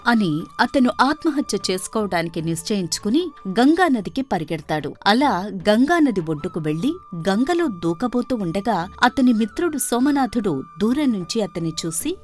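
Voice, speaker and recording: A woman's voice: medium pitch, sing-song, moderate pace, darkish tone, soft background music. A woman narrating a scripted folk story as its storyteller.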